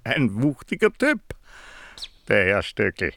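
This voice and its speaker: A small-town mayor in a film drama, folksy and condescending with a southern German accent. His gravelly voice swings widely in pitch and takes its time, probing evasively under a jovial surface of veiled menace. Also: huge pitch swings, slow pace, fairly bright tone, exaggerated voice. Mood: veiled warning. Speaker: actor. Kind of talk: naturalistic film drama dialogue.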